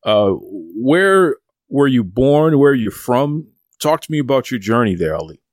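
A man's voice: medium pitch, big pitch swings, measured pace, dry recording. A man hosting an interview, asking questions with encouragement and repeating words as he goes. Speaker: podcast host.

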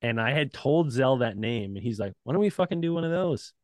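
A man's voice: medium pitch, lively intonation, brisk, no echo, studio quality. A man chatting casually as a podcast guest, laid-back and profane, laughing often between thoughts. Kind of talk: casual chat.

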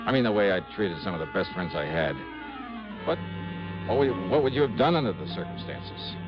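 A brisk American investigator from a vintage radio detective show, wry and colloquial, with pitch that moves dramatically. The recording is muffled, with music underneath. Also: male voice, animated. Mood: confiding, wry. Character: brisk, harried, loyal male insurance investigator. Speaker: lead and narrator. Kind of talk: 1960s radio detective narration and acting.

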